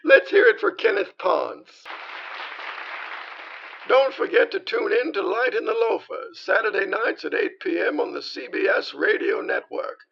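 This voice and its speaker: A flamboyant old-time radio host, voiced by a man, gushes in a bright, swooping voice with a gravelly edge. The recording sounds muffled and lo-fi. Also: sits high, animated, a little slow, full character voice. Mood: chipper. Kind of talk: 1940s radio acting.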